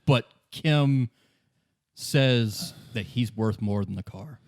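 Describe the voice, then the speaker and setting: A man hosting as game master, narrating a story in a halting, conversational way and repeating words. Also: medium pitch, a little mellow. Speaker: game master and podcast host. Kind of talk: casual improvised narration of a tabletop-style story.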